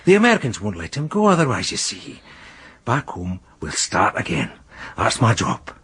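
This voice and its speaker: An actor playing a manipulative, browbeating male police inspector in a radio crime drama, with a husky voice. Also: fairly low pitch, wildly swooping pitch, normal speed, a bit bright, heavily acted. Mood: coaxing, pressing. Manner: insistent, browbeating interrogator.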